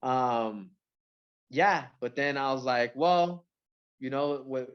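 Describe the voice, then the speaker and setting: A man from Mexico and Central California, a rapper, chatting casually in an interview with a halting, repetitive delivery full of filler phrases. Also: mid-range voice, fairly even intonation, clean tone, lo-fi sound. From born in Mexico, grew up in Central California. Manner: halting, repeats words, frequent "you know" and "like".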